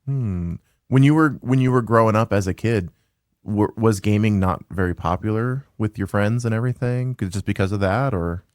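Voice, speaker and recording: Laid-back male host, slangy chat; lowish voice, dry close sound. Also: moderate pace, darkish tone, no room sound. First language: English. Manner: laid-back, says dude and man a lot. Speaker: podcast host, game store owner. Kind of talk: casual interview chat.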